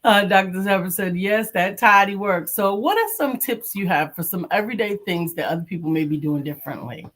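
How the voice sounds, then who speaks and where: A woman's voice: mid-range voice, animated, a little fast, few pauses, a bit bright. Woman, co-host, casual chat, practical, home remedies. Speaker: co-host and coach. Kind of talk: casual live-stream chat.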